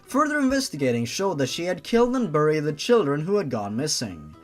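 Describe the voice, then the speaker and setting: A man's voice: fairly high pitch, wildly swooping pitch, brisk. A man reading fan fiction aloud and breaking off for exasperated, mocking, sarcastic asides. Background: background music.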